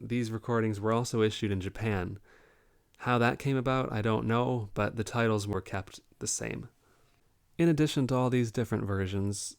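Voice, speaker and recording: Man, slightly low voice, measured delivery, articulate, informative explainer, collector presenter. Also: vocal fry, clean tone. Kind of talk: informative explainer to camera or over shown records.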